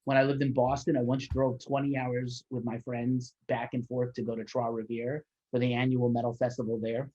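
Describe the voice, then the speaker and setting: Man, interviewer, husky, mid pitch, rambling fan enthusiasm, video call, dull lo-fi audio. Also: level tone, moderate pace, hardly any pauses, dark tone. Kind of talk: casual interview chat.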